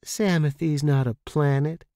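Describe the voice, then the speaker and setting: A man's voice: on the high side, fairly even intonation, a little slow, mellow, dry recording, studio quality, pitched above the performer's own voice, lightly acted. A man voicing a teasing, playful female space freighter captain in a story. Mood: flirty teasing.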